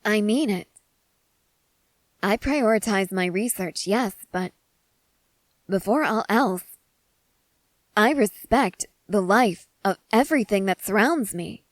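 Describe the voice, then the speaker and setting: Woman doing excitable nerdy scientist soldier lady, earnest and emphatic, asmr roleplay, mid pitch, really dry sound. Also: takes it slowly, long pauses, no echo, lightly acted. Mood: earnest reassurance. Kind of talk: ASMR character roleplay addressed to the listener.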